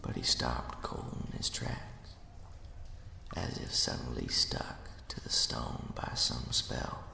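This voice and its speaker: Sinister ghost storyteller played by a man: extremely low, gravelly voice, folksy menace, spooky ASMR roleplay. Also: exaggerated voice. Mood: ominous storytelling. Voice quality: breathy, gravelly whisper. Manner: slow, deliberate, hushed, folksy and menacing. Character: sinister ghost of a murdered right-hand man, spinning a spooky tale. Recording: reverberant, cavernous echo.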